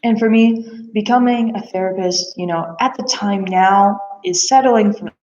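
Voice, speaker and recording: A woman's voice: medium pitch, a little slow, darkish tone, slight background noise. A woman talking casually and earnestly about herself in a rambling, introspective personal monologue. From Bulgaria, adopted from there. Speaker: aspiring therapist and psychic reader. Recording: echoey room, slightly dull sound.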